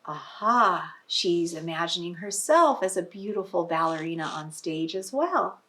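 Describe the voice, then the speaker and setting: A woman's voice: lowish voice, lively intonation, unhurried, fairly bright tone, slight background noise. A woman reading a picture book aloud to young children, teacher-style, with explanatory asides and prompting questions. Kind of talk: expressive storybook read-aloud with asides to children.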